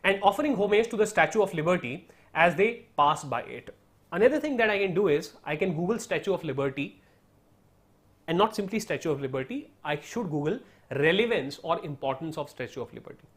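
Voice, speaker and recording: A man's voice: a little high, expressive, fairly quick. A man with an Indian English accent and Hindi as his first language, explaining an exam study strategy step by step in an online lesson. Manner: explanatory, repeats "right?" and "so therefore", mixes in Hindi. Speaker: teacher.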